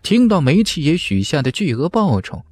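A man's voice: fairly high pitch, big pitch swings, normal speed, a little mellow, husky, soft background music. A man narrating a fantasy novel in a dramatic, scripted audiobook style.

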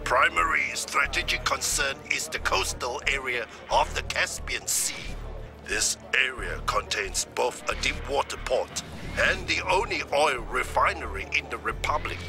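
A resolute male Chinese army commander, gives a formal video game briefing. His pitch sits in the middle and music plays clearly behind his declarations. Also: expressive, measured pace. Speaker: military commander.

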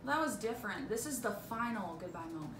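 A woman's voice: medium pitch, lively intonation, brisk. A young woman doing an amateur dramatic reading of a script as a daughter, in a room at home. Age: young adult. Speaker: student scriptwriter and amateur reader. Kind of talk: dramatic script read-through, playing a daughter. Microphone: camera a few feet away. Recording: distant echoey room mic, clear noise.

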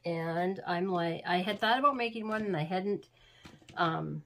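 A woman's voice: fairly low pitch, measured pace. A woman hosting a craft tutorial, talking through steps in a homey, rambling way, a little sniffly from being sick. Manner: chatty, homey, rambling instructions. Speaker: craft channel host. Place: crafting table indoors.

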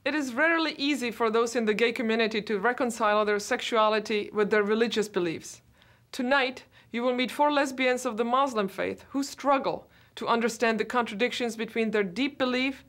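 A woman's voice: on the high side, normal speed, fairly bright tone. Woman narrating a television news magazine. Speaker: host. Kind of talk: scripted narration.

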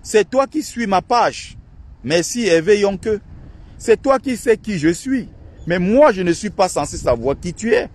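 A man with a husky, slightly high voice rants to his followers in an indignant, mocking tone. He speaks into a phone he holds outdoors by a lake in a park. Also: animated, a little mellow. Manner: indignant, ranting and mocking, quick shifts in pitch. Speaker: online activist and channel host. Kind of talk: outdoor vlog monologue.

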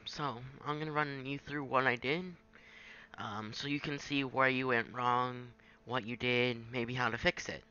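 A man giving an informal, halting software tutorial, thinking aloud as he goes. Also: mid-range voice, steady tone, clean tone, dull audio. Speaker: tutorial presenter. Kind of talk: casual screen-capture software tutorial.

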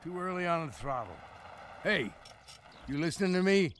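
A man with an American accent voicing a stern, gruff old car who mentors a racer in an animated game. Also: on the high side, normal speed, slight background noise. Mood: gruff, instructive. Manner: gruff, dry.

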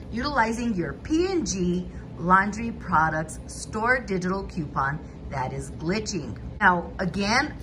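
A woman's voice: medium pitch, noisy background. A woman hosting a couponing channel, walking through store deals in a methodical voice-over, price by price.

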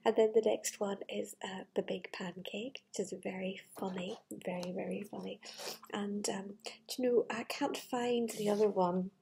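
A middle-aged woman with a breathy voice, reading a fairytale to children with gushing, chatty asides in a living room. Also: mid-range voice, fairly even intonation, moderate pace, warm tone, slight background noise. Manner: gushing, wistful enthusiasm for the book. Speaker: children's storyteller. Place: living room with large glass doors. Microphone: device camera at arm's length.

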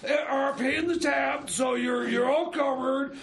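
Very high, creaky, stammering boss. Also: male voice, fairly even intonation, a bit bright, exaggerated voice. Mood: insistent, bossy. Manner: halting, stammering, full of repeated words. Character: frantic, stammering covert boss handing out missions. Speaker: comic character on a radio show. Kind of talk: improvised comedy radio theater.

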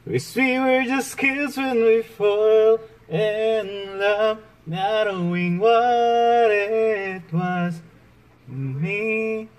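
A man in his thirties from the Philippines, a vlogger singing loudly and playfully through a vase like a megaphone in a large indoor home decor store, filmed on a phone. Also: high-pitched, extremely slow. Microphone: phone camera, often held at arm's length. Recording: roomy store echo.

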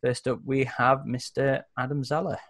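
A man's voice: medium pitch, little pitch movement, normal speed, fairly bright tone. Man casually hosting a gaming stream. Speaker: podcast host.

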